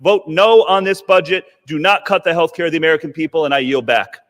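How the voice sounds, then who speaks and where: A man's voice: on the high side, fast, a bit bright. A man, a congressman, giving a sarcastic, indignant floor speech in a legislative chamber. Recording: long reverb of a large chamber.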